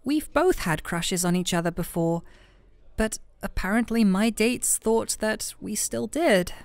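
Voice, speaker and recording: Woman acting as shy female best friend, secret crush, unhurried pace, hesitant, teasing, intimate roleplay audio, close dry sound. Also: mid-range voice, vocal fry, clear, resonant tone, no echo. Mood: flustered, hesitant. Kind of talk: intimate roleplay audio drama, one-sided conversation.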